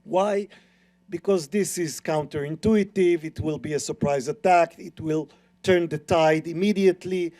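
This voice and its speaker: A man's voice: on the high side, a little slow, mellow. A middle-aged man with an Israeli accent, explaining his view earnestly and emphatically as a panelist. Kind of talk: panel discussion.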